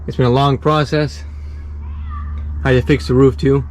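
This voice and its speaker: Hoarse male car vlogger, casual and upbeat, recorded outdoors on camera with steady background noise. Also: mid-range voice. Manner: chatty, encouraging, halting phrasing. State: raspy from feeling unwell. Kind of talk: casual talk while working on a car.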